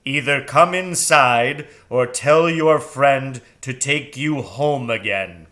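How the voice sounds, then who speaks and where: A man voicing a grave, imperious owl in a storybook, with a deep, round, sonorous voice and formal, deliberate speech. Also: a bit bright. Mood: stern.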